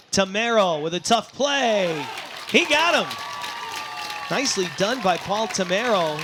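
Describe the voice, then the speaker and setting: A man with a very high-pitched voice works as a baseball play-by-play announcer. His live commentary is excitable and can rise to shouted calls on big plays. Music is heard behind him. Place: sports broadcast at a baseball game.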